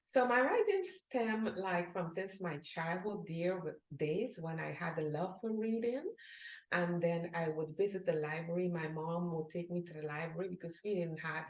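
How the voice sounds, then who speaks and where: Guest author, woman from Guyana, middle-aged, earnest, slightly low pitch, roomy sound. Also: animated, normal speed. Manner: earnest, reads a book blurb aloud. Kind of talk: interview answers. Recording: echoey room.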